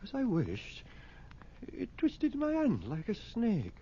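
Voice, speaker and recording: A man's voice: high-pitched, huge pitch swings, takes it slowly, mellow, slight background noise, full character voice. A man acting a middle-aged working-class English father, hesitant and fretful, in a radio horror drama. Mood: subdued, musing. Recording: muffled old radio broadcast.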